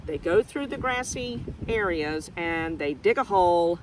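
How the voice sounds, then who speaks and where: A woman teacher reading a picture book to young children outdoors, expressive and asking them questions directly as she explains things simply. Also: lowish voice, measured pace, background noise. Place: outdoors in nature.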